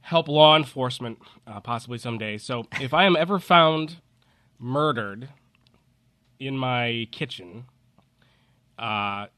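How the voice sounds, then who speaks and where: A man hosts a casual podcast with a bright, sharp voice that is congested from a cold. He chats in a joking, informal way. The recording is close and dry. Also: mid-range voice, lively intonation, measured pace, long pauses, dry recording. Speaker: podcast host.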